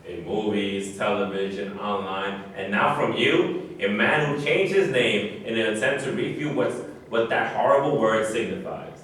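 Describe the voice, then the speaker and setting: A man's voice: on the low side, a bit bright. Man acting a proud, defensive athlete, echoey and distant. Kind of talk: staged play reading. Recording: very echoey room, distant mic, faint noise.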